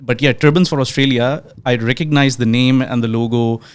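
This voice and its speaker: A young man from Pakistan hosting a podcast, his voice sitting at an ordinary middle pitch. He moves from a read intro into loose, searching questions, often restarting his sentences as he talks. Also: steady tone, a little fast, clean tone. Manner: scripted intro, then hesitant conversational questions with restarts. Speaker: podcast host. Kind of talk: podcast interview.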